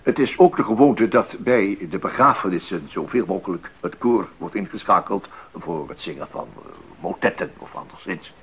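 A fussy, hesitant male official in a radio play, with a lowish, creaky voice. He is formal and halting, and his pitch moves about a lot. The sound is rather dull. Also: expressive, exaggerated voice. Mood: officious, hesitant. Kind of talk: Dutch radio drama acting. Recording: dull 1980s radio recording.

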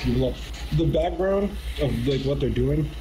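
A man's voice: mid-range voice, expressive, a little slow, music in the background. A young man in casual live-reaction chat, talking in a slangy way as a streamer. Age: young adult. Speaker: streamer reacting to a game showcase. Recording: noisy home stream audio.

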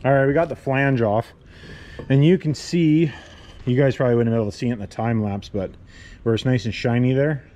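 A man's voice: medium pitch, brisk, warm tone. A man talking casually through a workshop repair, rambling and correcting himself as he goes. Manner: rambling, self-correcting, plain-spoken. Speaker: welder and channel host. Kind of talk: casual workshop how-to talk. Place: cluttered workshop garage.

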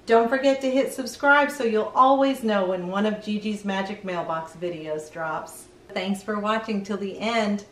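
A middle-aged woman hosting a children's read-aloud, speaking deliberately to young listeners. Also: medium pitch, a bit bright, reverberant, recorded from a distance, poor audio.

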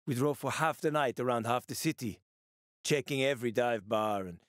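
A male actor voices a wry former cabbie surviving in a video game world. He is a man with a medium-pitched voice and a colloquial, sweary way of talking. His manner is urgent and streetwise, and the sound is dry and close. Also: normal speed, no room sound, natural voice. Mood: wry, reflective. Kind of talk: video game character dialogue.

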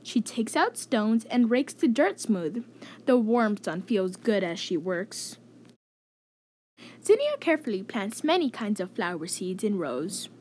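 A woman reading a children's story aloud in an expressive, clearly enunciated storytelling manner. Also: medium pitch, unhurried, a little hiss or hum. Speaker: host reading children's picture book aloud.

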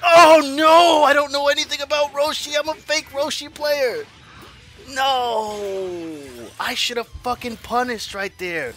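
A man in his forties commenting on his own online fighting game matches, swinging from low mutters to loud yells, laughing and cursing at himself and his opponent. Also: unhurried, a little hiss or hum. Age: 40s. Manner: profane, self-mocking trash talk. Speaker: gaming YouTuber. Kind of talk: live gameplay commentary.

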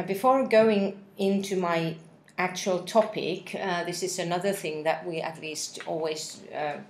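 A woman's voice: sits low, reverberant, slight background noise. A woman in her forties, a native Finnish speaker, giving a practice speech to interpreters with many hesitations and spelled-out words, recorded on a laptop webcam in an office room. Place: office meeting room with window blinds.

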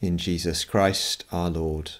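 Slow, low-voiced priest at prayer. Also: male voice, little pitch movement. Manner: measured, solemn, phrase-by-phrase delivery. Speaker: priest leading night prayer. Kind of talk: liturgical prayer and scripture reading.

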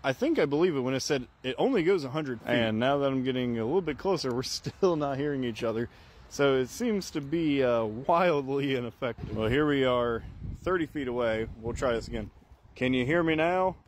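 A man's voice: medium pitch, lively intonation, brisk. Man, mid-range voice, casual vlog reviewer, matter-of-fact, wry. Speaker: product reviewer.

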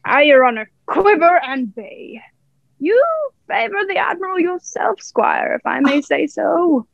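A young woman playing a genial, flattering old one-legged sea cook in a radio play, with folksy, deferential sailor talk. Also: high-pitched, a little slow, vocal fry, no room sound, lo-fi sound, exaggerated voice. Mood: cheery, flattering.

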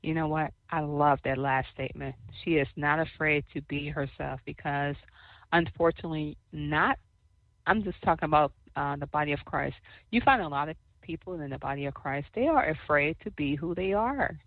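A woman co-host with a soft voice interviewing a guest, affirming and churchy in her talk. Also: sits low, moderate pace, no room sound, phone-quality sound. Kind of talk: faith-based interview.

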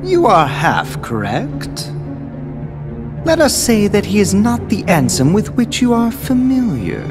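A cold scheming scholar. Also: male voice, high-pitched, huge pitch swings, over music, exaggerated voice. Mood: condescending. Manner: measured, riddling, superior. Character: scheming robed male scholar, cold and condescending. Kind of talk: video game cutscene dialogue.

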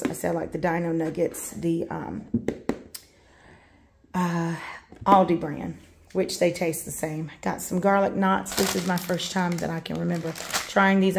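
Slow-talking gravelly woman. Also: on the low side, background noise. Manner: relaxed, rambling, many "um"s while listing items. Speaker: vlogger showing a grocery haul. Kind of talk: casual show-and-tell narration. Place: home kitchen, indoors.

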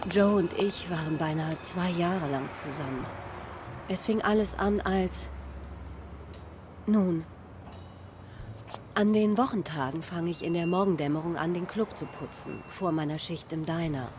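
An actress playing an earnest, wistful diner waitress in a video game, speaking sincerely and a little hesitantly. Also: female voice, on the low side, unhurried, long pauses, background noise, natural voice. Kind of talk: German game dub, dramatic dialogue.

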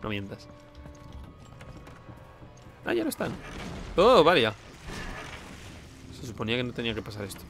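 A man with a Spain accent comments casually and sarcastically on a video game. His voice is bright and mid-pitched with some fry, and music plays faintly behind him. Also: huge pitch swings, moderate pace, pauses often.

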